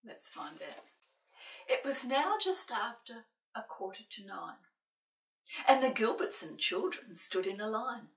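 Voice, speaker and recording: Lively older woman reading slowly to children. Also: mid-range voice. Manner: playful, sing-song, asking questions of young listeners. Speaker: children's storytime presenter. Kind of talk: animated picture-book reading with asides to young children. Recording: roomy echo, distant microphone.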